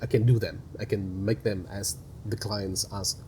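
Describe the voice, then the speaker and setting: A man's voice: on the low side, fairly even intonation, unhurried, mellow, slight background noise. A man with a Vietnamese accent, a guest on an interview podcast, speaking earnestly and often repeating words as he searches for them. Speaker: animation studio head, guest.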